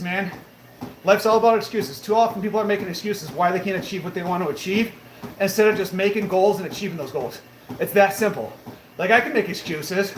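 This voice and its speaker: A man in his late thirties hosting a live stream from his treadmill, answering viewer comments in an upbeat, motivational way. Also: high voice. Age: 30s. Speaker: livestream host. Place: home room with a treadmill, indoors. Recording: roomy echo, faint background noise.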